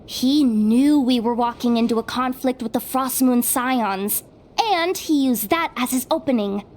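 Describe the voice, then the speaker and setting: A woman's voice: fairly high pitch, a little mellow, close to an everyday voice. A woman voicing a sardonic young female investigator in an English game dub, talking in a wry, grumbling way. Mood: explaining. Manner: wry, grumbling, slow-spoken. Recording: faint background noise.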